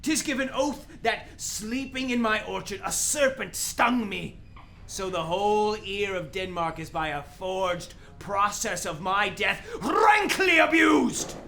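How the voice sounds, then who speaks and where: Anguished dead king's ghost, high piercing voice, slow theatrical declamation, Shakespeare radio play, noisy recording. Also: animated, vocal fry, sharp tone, heavily acted. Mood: bitter, outraged. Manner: theatrical, drawn-out declamation with outcries. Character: anguished ghost of a murdered king and father.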